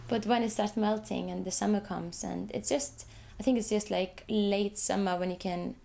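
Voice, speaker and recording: An Icelandic woman, a young adult, speaks English as her second language. She talks haltingly and repeats words as she restarts her sentences. Her voice is dark, a little gravelly, and fairly flat, with a faint hiss in the background. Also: medium pitch. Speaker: artist. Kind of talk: interview, describing her photographs.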